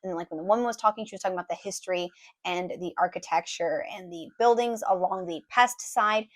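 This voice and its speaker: Hoarse chatty woman vlogger. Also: lowish voice, fast talker. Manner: chatty, many ums and likes, restarts. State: hoarse, voice recovering. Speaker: travel vlogger, mother of four. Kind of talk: casual travel recap to camera.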